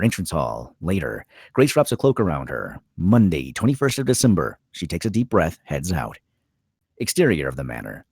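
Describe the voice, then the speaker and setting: Man, screenplay narrator, low pitch, lively intonation, slightly rough husky tone, scripted delivery. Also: dry recording, clean studio sound. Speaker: stage directions narrator.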